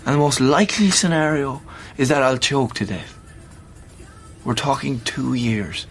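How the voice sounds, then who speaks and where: A man's voice: medium pitch, measured pace, fairly bright tone, faint music behind. Dry, blunt young Irishman. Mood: grave, determined. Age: 30s. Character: thirty-year-old seriously ill man, blunt, sardonic, determined. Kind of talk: naturalistic radio play acting.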